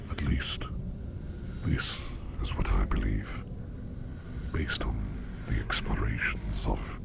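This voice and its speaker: Guy doing a super low crackly sleep demon, half whispered asmr, curious n mysterious, kinda formal. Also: exaggerated voice. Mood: musing, curious. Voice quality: breathy whisper over very deep gravelly creak. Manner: slow, formal, musing, deliberate phrasing. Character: curious, enigmatic male sleep demon visiting dreams. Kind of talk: whispered ASMR roleplay, personal attention.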